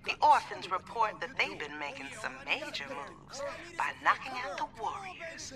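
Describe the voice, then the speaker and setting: An actor playing a smooth-talking male radio DJ who reports gang news in a lively, rhythmic patter. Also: high-pitched, normal speed, over music. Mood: hyped.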